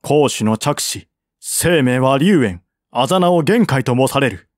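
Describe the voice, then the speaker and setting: A man's voice: mid-range voice, lively intonation, measured pace, no echo, clean studio sound, lightly acted. A man reading the part of a proud, loyal general of a fallen dynasty, with stern, formal declamation. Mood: firm, resolute.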